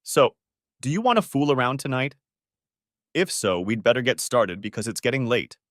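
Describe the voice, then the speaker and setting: A man's voice: medium pitch, sing-song, normal speed, a bit bright, dry recording, studio quality. A man voicing a dismissive, condescending middle-aged wife in a whiny voice with curt, impatient retorts.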